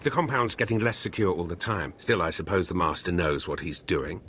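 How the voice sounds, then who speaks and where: An actor playing a stern, wary gatekeeper of a cult in a video game, brusque and authoritative. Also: male voice, sits low, brisk, fairly bright tone, slight background noise. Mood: grudging, wary.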